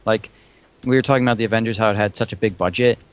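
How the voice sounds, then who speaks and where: Low-voiced man in casual chat. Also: steady tone, very fast, smooth, dull audio. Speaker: panelist on a live stream.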